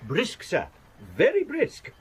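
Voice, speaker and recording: An obliging bookseller from a Victorian radio drama. His voice is high and swoops widely as he deferentially pushes his wares. A faint background noise sits behind him. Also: wildly swooping pitch, takes it slowly, heavily acted. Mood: eager. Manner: obliging, salesmanlike. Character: deferential, eager antiquarian bookseller of Victorian England.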